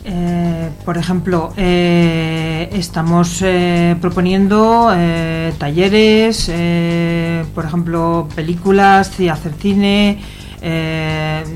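Halting Spanish woman being interviewed. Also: fairly low pitch, steady tone, darkish tone, slight background noise. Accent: Spanish from Spain. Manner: halting, searching for words. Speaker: activist interview guest. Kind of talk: informal radio interview.

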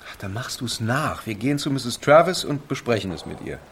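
A man's voice: medium pitch, very animated, brisk, slight background noise. A man playing a patient, encouraging middle-aged father with an earnest, coaxing manner in a radio drama. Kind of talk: naturalistic radio drama dialogue.

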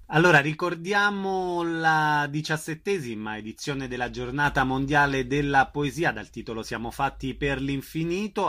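A man's voice: mid-range voice, sharp tone. A man presenting a radio show, giving announcements and putting questions to his guests. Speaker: radio interview host.

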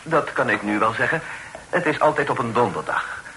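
An actor playing a humble, effusively grateful amateur poet in a period radio play, with deferential, wordy, old-fashioned speech. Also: male voice, medium pitch, normal speed, sharp tone, natural voice. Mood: earnest, courteous. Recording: noisy old radio drama recording.

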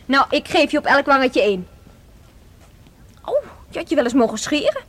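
A woman's voice: high voice, sing-song, moderate pace. An actress playing a playful, chatty, independent young woman with a teasing, breezy manner in a radio drama.

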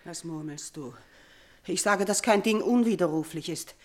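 A woman's voice: lowish voice, normal speed, a little mellow, natural voice. A woman playing a middle-aged queen and mother, haunted and domineering, in a theatrical classical German radio drama, shifting between murmurs and outcries. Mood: troubled, brooding. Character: haunted, imperious queen and mother, uneasy with guilt.

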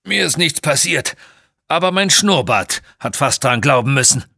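Man as a husky, wry adventurer hero. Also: on the high side, lively intonation, moderate pace, bright, forceful tone, big-room sound, pitched above the performer's own voice, full character voice. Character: unflappable, wry male adventurer hero with a moustache.

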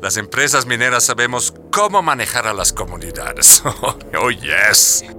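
An actor playing a smug, smooth-talking foreign mining manager in a radio drama, dropping English phrases into his Spanish. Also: mid-range voice, lively intonation, a little slow, pushed and bright, soft background music. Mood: smugly reassuring. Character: oily, smugly reassuring foreign mining company manager.

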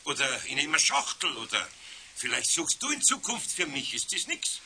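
Casting: fatherly, grumbling older Bavarian craftsman, fairly high bright voice with lively swings, radio play with faint hiss. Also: normal speed, heavily acted. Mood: soothing. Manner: grumbling, scolding yet fatherly. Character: gruff but kindly older Bavarian master craftsman, patient. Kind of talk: 1970s German children's radio play acting.

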